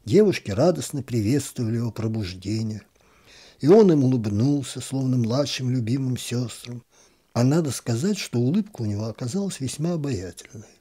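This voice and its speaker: Soft-spoken man reading a story aloud. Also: medium pitch, animated, normal speed, husky. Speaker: audiobook narrator.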